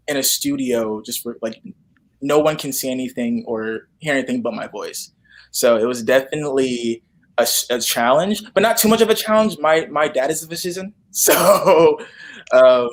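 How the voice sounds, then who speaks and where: A man's voice: medium pitch, poor audio. Young man, casual and a bit hesitant. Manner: hesitant, informal, frequent "like". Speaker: guest, stage actor. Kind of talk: casual interview chat.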